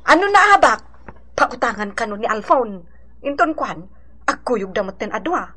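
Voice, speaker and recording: A high-voiced, nosy village gossip woman. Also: big pitch swings, moderate pace, a bit bright, husky, full character voice. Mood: teasing, gossipy. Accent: Ilocano. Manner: teasing, exclaiming, conspiratorial. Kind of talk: Ilocano radio drama acting.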